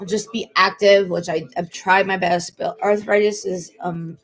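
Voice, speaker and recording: A woman in her late twenties talks casually to the camera in a personal vlog. She rambles and circles back, repeats the same points and restarts her sentences. Her pitch sits in the middle range. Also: fairly even intonation, unhurried. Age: 20s. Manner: rambling, repeats herself, many fillers and restarts. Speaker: vlogger.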